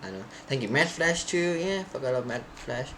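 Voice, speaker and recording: A man's voice: mid-range voice, very animated, fairly bright tone, slight background noise. Rambling young man streaming code. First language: Thai. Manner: rambling, halting, restarts and searches for words. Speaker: programming live streamer. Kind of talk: casual stream chat to viewers.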